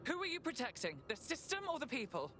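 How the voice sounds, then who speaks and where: A woman's voice: high voice, sing-song, quick pace, noisy background. A woman playing a plucky resistance operative in a video game, with a dry, wry manner. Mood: challenging. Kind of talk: video game cutscene acting.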